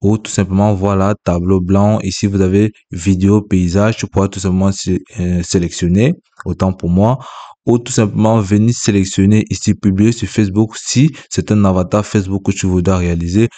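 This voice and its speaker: A man hosting a software tutorial, narrating each step on screen, repeating himself and correcting small slips as he goes. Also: sits low, steady tone, normal speed. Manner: repetitive, with frequent self-corrections. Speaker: tutorial host.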